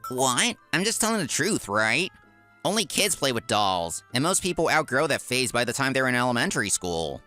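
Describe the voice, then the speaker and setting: A man's voice: medium pitch, sing-song, normal speed, sharp tone, faint music behind. A man voicing a cocky, spiteful office worker in a comic dub, with a sneering, taunting manner. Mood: mocking. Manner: sneering, taunting, stammers when cornered.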